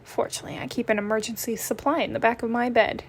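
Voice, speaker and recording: A woman's voice: a little high, steady tone, quick pace, slight background noise, natural voice. A woman voicing a smug, lazy, sarcastic male cat from a comic, with a dry delivery.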